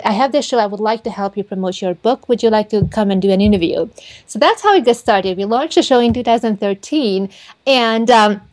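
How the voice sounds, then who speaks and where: A woman, giving chatty, conversational answers as a guest in an interview. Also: medium pitch. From Hungary and Romania. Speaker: interview guest, PR and marketing expert.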